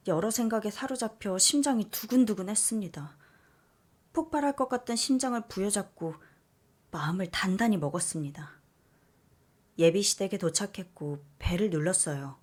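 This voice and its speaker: Story-reading lady, first person, middle pitch, gets expressive at the big moments. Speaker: story narrator. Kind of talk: first-person read-aloud story narration.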